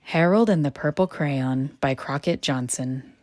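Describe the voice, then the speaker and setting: A woman reading a children's picture book aloud in a gentle, expressive storytelling manner. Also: low-pitched, a little slow. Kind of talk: children's read-aloud narration.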